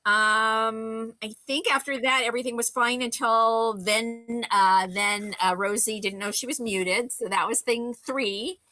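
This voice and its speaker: A woman's voice: on the high side, steady tone, measured pace, few pauses, bright, forceful tone, no echo. A woman drama instructor giving casual, effusive, encouraging notes to her cast.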